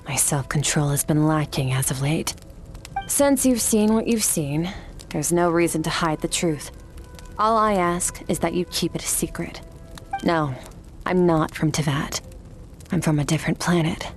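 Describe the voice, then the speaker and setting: A woman playing a cool, aloof otherworldly swordmaster in a video game, stoic and blunt, with a measured, detached delivery. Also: deep voice, a little mellow. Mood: guarded, resigned. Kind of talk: video game character dialogue.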